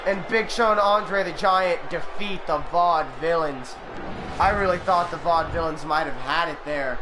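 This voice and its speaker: A young man doing play-by-play commentary on a video game, excitable and bursting into shouts on big moves, his voice going hoarse and cracking into coughs. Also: on the high side, moderate pace, background noise. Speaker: host commentating a simulated game.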